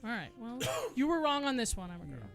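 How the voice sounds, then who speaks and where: A woman's voice: fairly high pitch, big pitch swings, a little fast, music in the background. A middle-aged American woman with a big voice, chatting and telling personal stories in a frank, unbothered way. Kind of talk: casual conversational storytelling.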